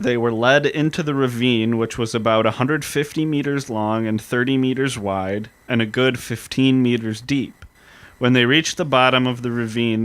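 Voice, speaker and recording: A man co-hosting a casual history podcast, talking earnestly and reading historical accounts slowly. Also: medium pitch, fairly bright tone. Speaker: podcast co-host.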